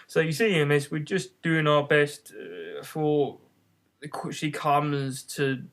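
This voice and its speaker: A man voicing a humble, nervous gardener in a storybook, halting and deferential as he explains himself. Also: on the high side, fairly bright tone. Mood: hesitant, apologetic.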